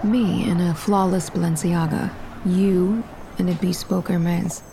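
A woman's voice: lowish voice, fairly even intonation, a little slow, very noisy. A woman playing a cool, calculating operative on the run in an audio fiction drama. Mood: teasing, cool.

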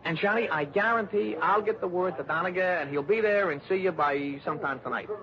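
A man's voice: high voice, a little fast. An actor playing a pushy, scruffy American small-town informant in his thirties, wheedling and folksy in an old radio drama. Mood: eager, insistent. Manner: folksy, wheedling, repetitive, tags questions with huh and see. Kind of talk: 1960s radio drama acting. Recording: dull vintage radio recording, crowd murmur.

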